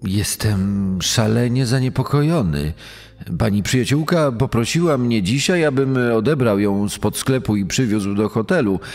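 An actor playing a smooth, arrogant middle-aged killer in a true-crime reenactment, speaking theatrically with deliberate pauses. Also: male voice, on the low side, expressive, a little mellow. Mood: feigned concern. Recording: long hall reverb over music.

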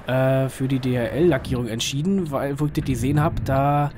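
A slightly hoarse man gives casual, rambling, colloquial commentary on a driving game, often asking himself little questions. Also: mid-range voice, fairly even intonation, moderate pace. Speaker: gaming video host. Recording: clear vehicle engine noise behind voice. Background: truck simulator engine sound.

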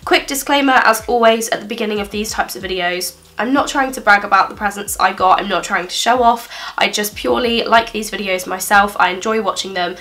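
A woman's voice: medium pitch, fairly quick, fairly bright tone. A twenty-one-year-old woman with a blocked nose from a cold, chatting upbeat through a birthday haul in a small living room. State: congested from a cold. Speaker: beauty vlogger. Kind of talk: casual haul vlog.

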